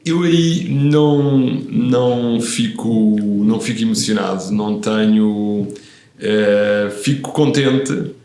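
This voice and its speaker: A man in his fifties who grew up in Italy speaks as a podcast guest, low and gravelly, pausing and restarting as he thinks. The room around him rings with noticeable echo. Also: warm tone. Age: 50s. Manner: thoughtful, many hesitations and restarts. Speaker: guest, real estate professional. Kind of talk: relaxed interview conversation.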